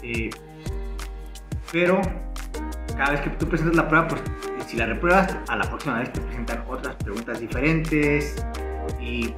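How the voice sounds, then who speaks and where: A man, giving earnest advice to camera as a barber instructor, pausing often with fillers and restarts. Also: fairly high pitch, reverberant. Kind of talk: casual explanatory talk to camera. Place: living room at home, indoors.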